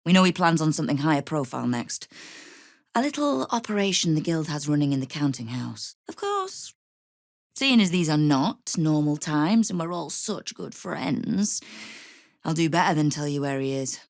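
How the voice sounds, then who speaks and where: A woman's voice: deep voice, very animated, moderate pace, creaky, dry recording, heavily acted. An actress playing a shrewd, hard-edged female crime guild boss in a video game, with a dry, wry manner and veiled threats. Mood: serious. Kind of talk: scripted video game voice acting.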